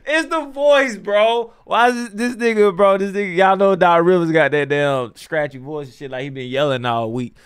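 A man's voice: high-pitched, normal speed, hardly any pauses, clean tone. A young American man giving casual, slangy reaction commentary, repeating phrases in disbelief into a close studio microphone. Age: young adult. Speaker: reaction streamer. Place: small home studio room. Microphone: close boom studio microphone.

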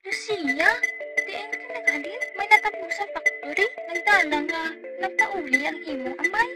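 Radio play mother, female actor: protective, urgent, extremely high voice, loud music underneath. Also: normal speed. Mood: worried, urgent. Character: anxious, protective mother warning her daughter. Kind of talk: amateur radio drama acting.

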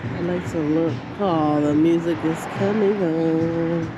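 A woman's voice: low-pitched, fairly even intonation, takes it slowly, lo-fi sound, over music. A woman vlogging as she browses an outdoor flea market, easygoing and curious, exclaiming at the things she finds and asking vendors about prices. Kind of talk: casual vlog chat with vendors. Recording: noisy outdoor market with traffic.

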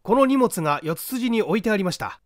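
A man's voice: sits high, expressive, quick pace, no room sound, studio quality, pitched above the performer's own voice. A man voicing a dutiful army sergeant major who reports politely and formally in an audiobook. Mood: earnest reporting.

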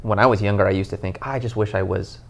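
Male pastor, 30s, low gravelly voice; earnest, animated podcast chat on a lapel mic. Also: fast. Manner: animated, earnest storytelling with many "you know" fillers. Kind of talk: casual podcast conversation. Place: living room, on a couch, indoors.

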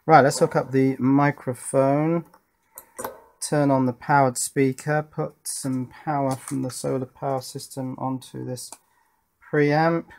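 A man's voice: mid-range voice, little pitch movement, slow pace, vocal fry, darkish tone. A middle-aged man giving casual hands-on electronics commentary, thinking aloud and asking himself questions as he works. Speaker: electronics hobbyist presenter. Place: indoor workbench.

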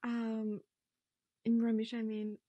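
A woman's voice: fairly high pitch, moderate pace, no echo, dull audio. A young woman from Morocco whose first language is Arabic, chatting in an interview with frequent laughter and playful quoting of others, on a lapel mic. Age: young adult. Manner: laughs often, animated, quotes people playfully. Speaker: interviewee, newcomer. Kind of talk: casual interview talk.

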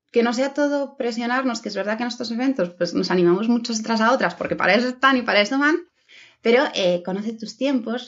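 A woman gives a chatty, encouraging keynote from home over a video call, with many fillers. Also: mid-range voice. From Spain. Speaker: keynote speaker. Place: home room with red curtains. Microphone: webcam video call. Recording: dull lo-fi video call audio.

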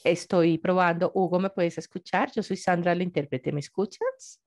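A woman working as a simultaneous interpreter on a remote call, speaking haltingly and repeating words as she goes. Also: lowish voice, darkish tone. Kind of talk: live interpreting.

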